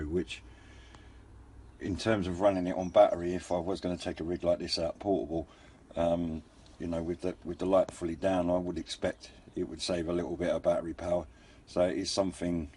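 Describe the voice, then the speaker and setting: A man with a deep, mellow voice talks through a casual hobby review. He is unscripted and understated, hesitating and rambling as he explains. There is a faint layer of noise behind him. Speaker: hobbyist radio reviewer and channel host.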